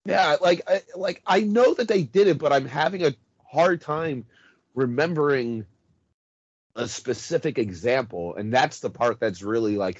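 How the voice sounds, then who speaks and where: A man's voice: medium pitch, no room sound, muffled recording. A man in his thirties chatting casually about wrestling, hesitant and full of fillers. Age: 30s. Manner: rambling, hesitant, many fillers.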